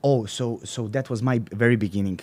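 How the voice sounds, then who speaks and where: A man's voice: medium pitch, darkish tone. A young adult man with a Serbian accent, talking as an interview guest, often stopping and restarting as he searches for words. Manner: halting, searching for words, many fillers and restarts. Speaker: guest, professional bodybuilder. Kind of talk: casual interview talk.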